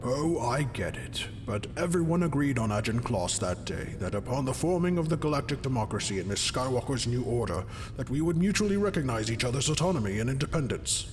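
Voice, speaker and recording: A man's voice: mid-range voice, close to an everyday voice. A man playing an earnest, principled galactic statesman in a fan audio drama, speaking formally and oratorically. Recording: noisy, long hall reverb.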